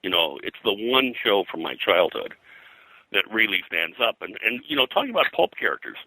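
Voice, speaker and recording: An older man talking as an interview guest, explaining things in detail with a halting, conversational delivery. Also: on the low side, very animated, pushed and bright, no room sound, low-quality recording. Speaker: interview guest, pop culture historian. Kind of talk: conversational interview, recollection and commentary.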